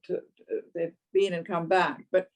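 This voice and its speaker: A woman's voice: fairly low pitch, steady tone, unhurried. A woman interior designer chatting enthusiastically and playfully in a video call conversation.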